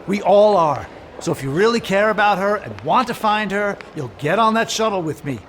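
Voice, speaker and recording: A man's voice: sits high, expressive, moderate pace, a little hiss or hum. An actor playing a loyal, urgent male adventurer in a sci-fi audio drama, earnest and emphatic. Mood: urgent, pleading.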